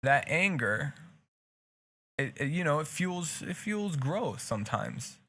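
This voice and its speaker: A man's voice: on the high side, big pitch swings, slow pace, bright, forceful tone. A young man with a Hebrew accent, a native Hebrew speaker, co-hosting a casual podcast and talking earnestly in long, rambling stretches full of restarts. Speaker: podcast co-host.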